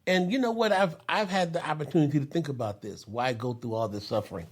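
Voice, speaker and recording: A man's voice: fairly high pitch, quick pace, slightly rough. A man in his fifties hosting a casual podcast, telling stories with frequent laughs and lots of "you know". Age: 50s. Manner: storytelling, laughs often, says "you know" a lot. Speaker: podcast host.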